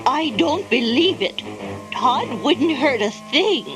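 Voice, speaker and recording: An actress playing a kindly, doting elderly widow in an animated film. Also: female voice, high-pitched, takes it slowly. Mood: indignant. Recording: music behind the voice.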